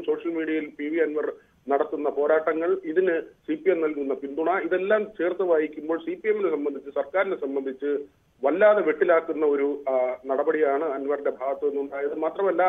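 A man giving a live political report over the phone, halting with frequent filled pauses. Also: a little high. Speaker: news reporter. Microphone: phone call. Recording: tinny narrow phone line.

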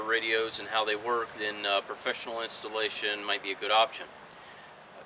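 Male instructor, 40s, practical how-to delivery, very sharp bright tone, mid pitch, faint outdoor noise. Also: poor audio. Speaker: marine electronics installer giving tips. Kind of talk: instructional talk to camera. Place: outdoors in a fenced backyard.

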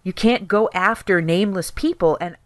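A woman's voice: mid-range voice, moderate pace, no echo, natural voice. A woman playing an anxious, frank estranged wife in an audio drama, pleading and insistent with emotion. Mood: shaken, caring.

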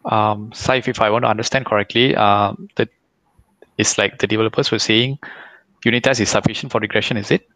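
A man's voice: on the low side, steady tone, a little fast, fairly bright tone, no room sound, rough recording. A man answering questions as a guest speaker, explaining his view over a headset on a video call. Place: indoor room, video call.

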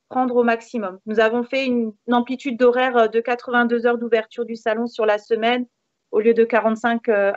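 A woman's voice: a little high, no echo. A woman in her thirties giving conversational interview answers in an upbeat way over a video call. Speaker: interview guest, hair salon manager. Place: indoors in a room with a beamed ceiling. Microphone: video call, device mic. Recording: dull, lo-fi video call audio.

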